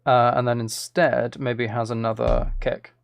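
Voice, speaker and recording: A man's voice: mid-range voice, flat delivery, unhurried, gravelly. A man streaming casually while making music, thinking aloud to himself and often trailing off mid-thought. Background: synth and drum loops from music software, clicking.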